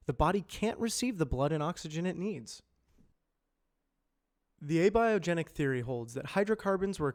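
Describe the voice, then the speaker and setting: Higher-pitched man reading scripted narration. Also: a little mellow. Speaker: amateur voice actor practicing narration. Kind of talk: scripted educational and corporate narration.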